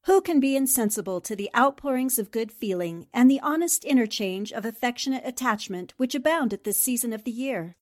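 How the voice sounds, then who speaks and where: A woman's voice: on the high side, lively intonation, fairly quick, few pauses, a little mellow, dry recording, studio quality. A woman speaking for a radio drama cast, reading scripted lines aloud. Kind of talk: scripted holiday greeting and essay reading.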